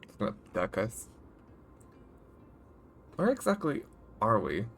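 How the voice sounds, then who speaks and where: A woman's voice: very deep voice, sing-song, slow pace, gravelly, slight background noise. A woman streaming a story game, reacting in short offhand mutters. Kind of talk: casual live reaction to a game. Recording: live stream with game music behind.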